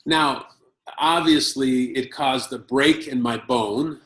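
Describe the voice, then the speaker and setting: An American man tells a story from his childhood, slow and measured. He speaks in short bursts with pauses for an interpreter. The room around him rings with echo. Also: medium pitch, a bit bright. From Chicago, United States. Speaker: conference speaker. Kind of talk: personal story in a talk. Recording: roomy echo.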